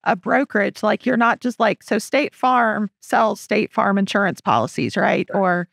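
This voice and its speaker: A woman's voice: medium pitch, a little slow, gravelly, a bit bright, no echo. A woman co-hosting a podcast sums up the guests' points in casual, affirming talk at a studio mic. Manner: affirming, summarizing. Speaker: podcast co-host.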